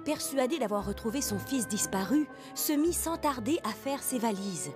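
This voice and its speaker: An actress playing the storybook narrator of a cartoon, telling the tale in a measured, scripted way. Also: female voice, a little high, lively intonation, close to an everyday voice. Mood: earnest storytelling. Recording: loud music behind the voice.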